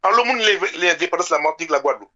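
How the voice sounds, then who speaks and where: Male radio talker, French Caribbean accent, quick and high-voiced, impassioned, repeats himself for emphasis. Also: animated, pushed and bright, highs cut off. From French Antilles. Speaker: radio show speaker. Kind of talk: impassioned informal political discussion.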